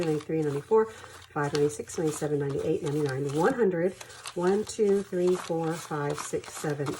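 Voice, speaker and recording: A woman with a somewhat low voice chats casually to her viewers about saving money. She sounds stuffy and sniffly from allergies, apologizes easily, and counts bills aloud in a relaxed home vlog. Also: a little hiss or hum, rough recording. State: congested with allergies, sniffling and coughing. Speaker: budgeting channel host.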